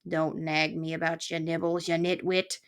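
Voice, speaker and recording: Woman voicing a gruff, gravelly old male porcupine. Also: deep voice, flat delivery, moderate pace. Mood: bellowing, furious. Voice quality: growling, gravelly. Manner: barking colorful insults, folksy slangy speech.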